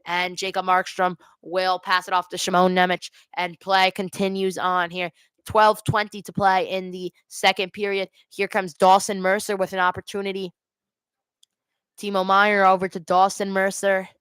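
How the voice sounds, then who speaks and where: A woman with a clear, smooth voice commentates a hockey game live. She mixes play-by-play with casual chat as a watch party host. The sound is dry and close, on a headset mic. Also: medium pitch, flat delivery, normal speed, fairly bright tone, no room sound.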